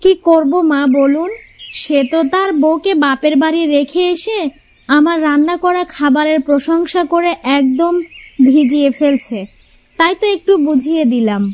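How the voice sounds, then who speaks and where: A woman voicing a sharp-tongued, bossy young housewife in a cartoon tale, pointed and expressive. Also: high voice, normal speed, lightly acted. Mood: mock sweet. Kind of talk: Bengali cartoon fairy-tale voice acting.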